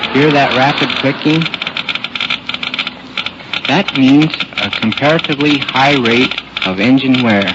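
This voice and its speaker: A man explaining a technical demonstration as a research engineer in a scripted, measured radio report from a car. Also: mid-range voice. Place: inside a test car. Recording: muffled old radio, noisy car. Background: car noise.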